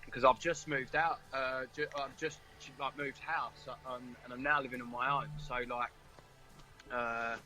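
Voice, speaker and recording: A man in a band, giving casual, halting answers in an interview. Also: mid-range voice, flat delivery, pushed and bright, soft background music, low-quality recording. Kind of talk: casual chat.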